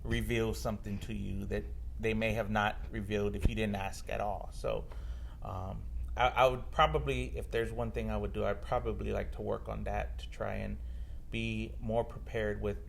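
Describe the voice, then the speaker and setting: A man who covers sports, chatting loosely on a podcast, full of fillers and restarts, with a loud voice that carries. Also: on the low side, little pitch movement, normal speed, creaky, slight background noise. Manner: rambling, lots of fillers and restarts. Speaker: sports reporter. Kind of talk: casual podcast chat. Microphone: held far from mouth.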